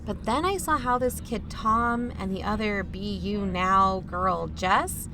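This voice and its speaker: A woman's voice: mid-range voice, takes it slowly, noisy background. A woman playing an uneasy, wry adult chaperone who narrates her own account in a confiding way for an audio drama. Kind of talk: first-person scripted narration.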